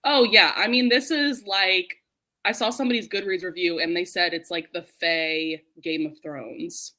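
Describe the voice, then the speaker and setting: Bright-voiced woman chatting casually. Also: fairly low pitch, normal speed. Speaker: host of a book readalong live show. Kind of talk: casual book chat.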